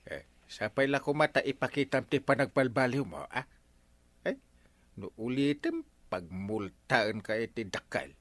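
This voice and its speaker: A man's voice: medium pitch, big pitch swings, unhurried, dry recording. A stern village captain with an Ilocano accent in a radio comedy drama. His voice swings widely up and down as he scolds and lectures. The sound is close and dry. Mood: lecturing. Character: stern middle-aged male village captain. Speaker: barangay captain.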